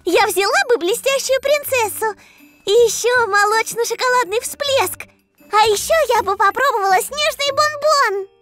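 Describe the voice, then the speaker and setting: A woman's voice: extremely high pitch, normal speed, over music. A woman voicing a bubbly, eager five-year-old girl in a children's cartoon, cute and enthusiastic. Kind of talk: children's animation dub.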